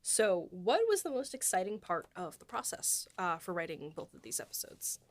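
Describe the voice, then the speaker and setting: A woman's voice: on the low side, sing-song, moderate pace. A young woman hosting a podcast, chatting casually and asking questions, her speech full of fillers like "like" and "um.". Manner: filler-heavy, lots of "like" and "um". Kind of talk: casual chat.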